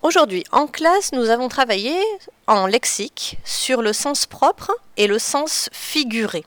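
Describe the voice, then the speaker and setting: A woman addresses children as their teacher, patient and deliberate as she explains. Her voice is smooth and clear. The sound is dry and close. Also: a little high, expressive, a bit bright, no room sound. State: slightly stuffy from a cold. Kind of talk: children's lesson on figurative expressions.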